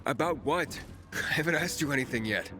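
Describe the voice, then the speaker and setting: A man's voice: on the high side, lively intonation, brisk, lightly acted. An actor playing a determined young wanderer in a video game, casual but firm as he questions people. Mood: pressing. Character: determined young male wanderer, probing, streetwise hero. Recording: music behind the voice.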